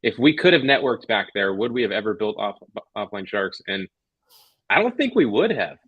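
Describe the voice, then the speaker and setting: Low, dry-voiced man from Hawaii. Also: big pitch swings, moderate pace, husky, dry recording, highs cut off. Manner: reflective, repeats words while thinking aloud. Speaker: co-host. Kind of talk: casual livestream chat.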